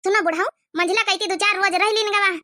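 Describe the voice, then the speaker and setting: A woman's voice: extremely high pitch, fairly even intonation, quick pace, sharp tone, no echo, studio quality. A woman voicing a meek, placating old grandmother with an Awadhi accent in a cartoon comedy, plaintive and hesitant. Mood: resigned. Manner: plaintive, hesitant with filler phrases.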